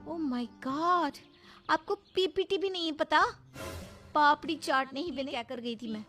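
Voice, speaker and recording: A woman's voice: high voice, a little slow, sharp tone, soft background music, voice pushed up. Haughty young daughter-in-law played by a woman. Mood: scornful. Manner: bossy, dismissive, mixes in English. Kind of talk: cartoon voice acting.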